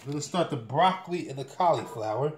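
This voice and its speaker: A middle-aged man talks casually through his cooking in a halting, repetitive way. His voice sits at an average pitch. The kitchen is echoey, with clear background noise from dishes and pans. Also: lively intonation. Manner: halting, repeats himself as he works. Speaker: home cook hosting a cooking video. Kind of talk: casual cooking demonstration, talking while working. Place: small home kitchen, indoors. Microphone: camera or phone mic at varying distance. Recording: roomy kitchen echo, clattering pots, noisy.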